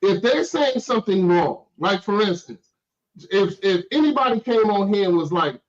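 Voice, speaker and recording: A man's voice: high-pitched, animated, moderate pace. A man in casual, argumentative chat, blunt and emphatic, repeating phrases to drive his point home, on lo-fi stream audio. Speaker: show panelist.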